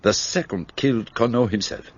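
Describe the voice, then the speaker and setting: A man's voice: mid-range voice, moderate pace, natural voice. An actor playing an elderly Belgian detective with a French-tinged Belgian accent, precise and theatrical, explaining his deductions. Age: older. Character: fussy elderly Belgian male detective, self-assured. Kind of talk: radio play acting. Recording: dull lo-fi recording, faint hiss.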